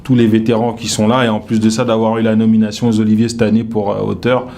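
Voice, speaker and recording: A man's voice: on the low side, fast, vocal fry, slight background noise. A young man with a Paris-suburbs accent, talking casually and rambling with fillers in an interview. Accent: French from the Paris suburbs, slightly Québec-tinged. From France. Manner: relaxed, rambling, with frequent fillers. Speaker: comedian as interview guest.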